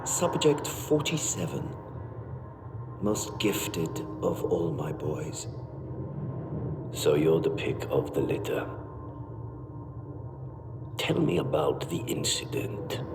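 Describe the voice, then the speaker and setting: An actor playing a cold, menacing institute overseer with a clipped, threatening delivery in a video game flashback. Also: male voice, sits low, sing-song, slow, long pauses, distant mic, heavily acted. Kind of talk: dramatic video game cutscene acting. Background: loud cinematic music.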